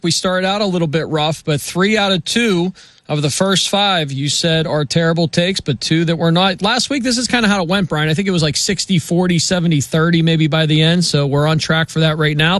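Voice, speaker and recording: A man's voice: on the high side, brisk, a bit bright, no room sound. A man hosting a sports radio show, talking in an upbeat, casual way and laughing easily. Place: radio studio.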